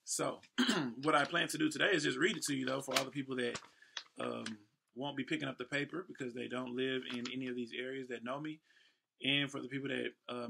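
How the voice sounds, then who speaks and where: Gravelly American man in his thirties. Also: mid-range voice, a little hiss or hum. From Houston, Texas, United States. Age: 30s. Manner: playful, breaks into ad-libs and shout-outs. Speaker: host and professional event MC. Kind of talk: reading a newspaper story aloud with casual asides.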